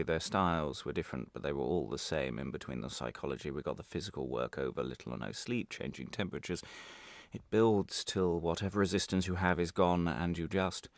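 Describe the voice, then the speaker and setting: An actor playing a weary, bitter prisoner held without trial in a radio monologue, speaking in a halting, intense confessional way. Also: male voice, deep voice, dry recording.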